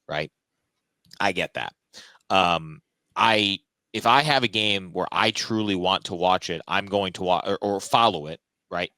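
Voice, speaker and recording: Male sports broadcaster as podcast guest, American, lowish forceful voice, casual talk, very dry close sound. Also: dry recording. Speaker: sports radio play-by-play announcer, podcast guest. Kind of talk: casual interview chat.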